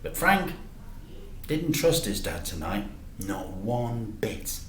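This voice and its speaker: Male storyteller for children: bright, lively intonation, unhurried and deliberate delivery. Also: on the low side, slight background noise. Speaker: storyteller, teacher. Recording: roomy, echoey sound.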